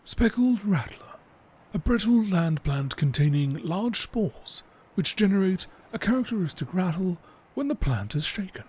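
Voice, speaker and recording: A man reading encyclopedia entries aloud in a measured, informative nature documentary style. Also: high voice, sing-song, a little mellow, slightly rough.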